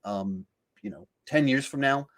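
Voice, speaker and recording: A man's voice: mid-range voice, unhurried. A man hosting a podcast in a casual film discussion, thinking aloud in a rambling way with repeated words and restarts. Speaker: podcast host.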